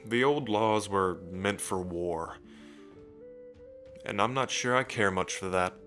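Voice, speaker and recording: A low-pitched dragon king, acted by a man, romantic and proud. He speaks tenderly and without hurry, teasing softly in an intimate ASMR roleplay, with music playing behind him. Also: takes it slowly. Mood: tender, earnest.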